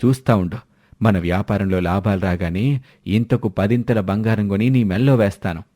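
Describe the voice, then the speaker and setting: Low-voiced persuasive village husband, played by a man. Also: moderate pace, mellow, no echo, studio quality, close to an everyday voice. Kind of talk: animated moral-story dialogue.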